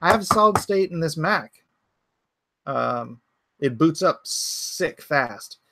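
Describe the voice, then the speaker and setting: A man's voice: a little high, lively intonation, slow pace, gravelly, noisy background. A man in his forties hosting a casual livestream from his home computer, rambling and thinking aloud as he answers chat comments. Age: 40s. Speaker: livestream host.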